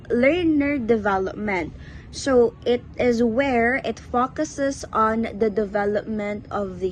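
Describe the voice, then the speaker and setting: A woman's voice: fairly high pitch, a little hiss or hum, poor audio. A young woman with a Cebuano Filipino accent, a native Bisaya speaker, giving an explanatory class presentation as a student and addressing listeners directly. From Philippines.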